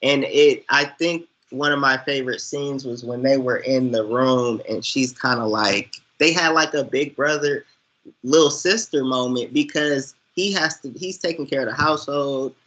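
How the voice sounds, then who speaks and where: Informal American co-host with a sharp, bright tone and mid pitch, rambling through casual film talk. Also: normal speed, poor audio. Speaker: podcast co-host reviewing a film.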